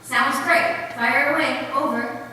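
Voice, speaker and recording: A woman performs a caring missionary aunt in a staged reading. Her voice is bright and fairly high, picked up from a distance with long hall reverb. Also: slow pace, exaggerated voice. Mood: friendly, attentive. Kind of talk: theatrical readers theater, narration and radio dialogue. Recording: echoey hall from afar, faint noise.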